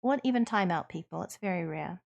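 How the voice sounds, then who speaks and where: A woman with a New Zealand accent, streaming and chatting with viewers in an earnest, reassuring way, pausing with ums as she thinks. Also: lowish voice, expressive, creaky, lo-fi sound. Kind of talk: casual chat answering viewers.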